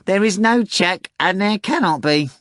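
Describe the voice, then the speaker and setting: Sly theatrical cat. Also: male voice, high-pitched, lively intonation, measured pace, a bit bright, no room sound, clean studio sound, pitched above the performer's own voice. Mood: falsely anxious. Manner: falsely anxious, wheedling, melodramatic. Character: sly, theatrical talking male cat, chess player.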